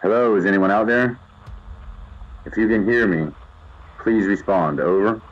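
A man's voice: lowish voice, measured pace, natural voice. A man playing a lonely, bored survivor in an audio drama, logging his days formally over a radio. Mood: urgent, hopeful. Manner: formal radio-log delivery in short statements. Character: lonely, bored male survivor broadcasting from an underground bunker. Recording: muffled hand-held radio sound with noise.

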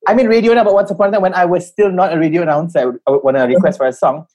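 A Malaysian man, a radio announcer, hosting a casual interview with a lively, playful manner, often acting out quotes in a raised voice. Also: high voice, very fast. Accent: Malaysian English.

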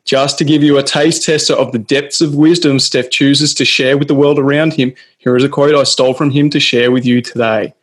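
A man hosts a podcast in a steady mid-range voice. He reads his prepared intro slowly and deliberately, then eases into casual questions for his guest. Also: mid-range voice. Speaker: podcast host.

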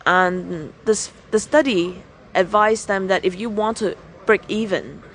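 A woman's voice: medium pitch, measured pace, slight background noise. Woman, frank winery owner. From Hong Kong. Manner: frank, with frequent restarts and hesitations. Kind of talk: casual interview chat.

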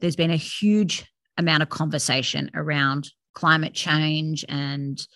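A woman's voice: low voice, fairly bright tone, no room sound. A woman hosting an interview, earnest and emphatic with repeated words. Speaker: podcast host.

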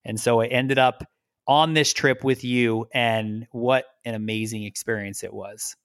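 A middle-aged man from the United States, a lawyer, telling his story as a podcast guest in a relaxed, rambling way with repeated words. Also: medium pitch, a little slow, smooth, dry recording, clean studio sound. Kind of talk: casual interview storytelling.

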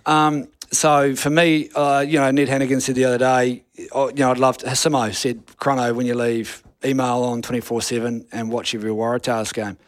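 A man's voice: medium pitch, little pitch movement, a little fast, hardly any pauses, no echo, studio quality. A middle-aged man with a New Zealand accent, a rugby coach answering interview questions frankly, with many restarts. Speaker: rugby assistant coach guest.

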